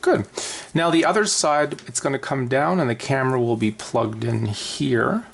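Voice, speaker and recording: A man's voice: medium pitch, normal speed, gravelly, noisy background. A man talking casually and unscripted through a hands-on how-to as an aviation vlogger.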